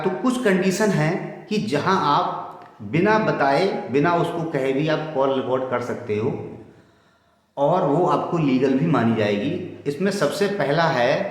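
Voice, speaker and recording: A middle-aged man explains legal matters to viewers in a formal, deliberate way, repeating points for emphasis. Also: fairly high pitch. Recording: very echoey room with long reverb.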